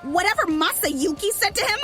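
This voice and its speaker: A hot-headed young woman, acted by a woman in an audio drama. Her voice is high and swings widely as she makes blunt, insistent accusations over faint music. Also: animated, fairly quick. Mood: heated, accusing. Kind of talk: fan audio drama acting.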